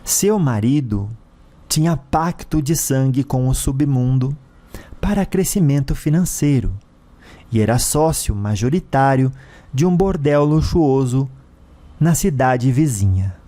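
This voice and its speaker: A man with a Brazilian accent narrating a scripted story dramatically, in deliberate, theatrical phrases broken by short pauses. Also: mid-range voice, lively intonation, dark tone.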